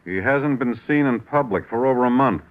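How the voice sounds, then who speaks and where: A man playing a brisk spy bureau chief. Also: mid-range voice, close to an everyday voice. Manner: urgent, businesslike. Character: brisk, worried male intelligence bureau chief. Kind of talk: 1950s radio drama acting. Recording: dull old radio recording.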